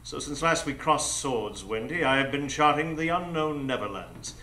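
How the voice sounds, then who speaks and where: An actor playing a grandiose, mocking pirate captain and criminal mastermind in a live radio drama, with theatrical, pompous delivery. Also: male voice, medium pitch, animated, moderate pace, pushed and bright, a little hiss or hum, low-quality recording. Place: improv theatre stage before a live audience.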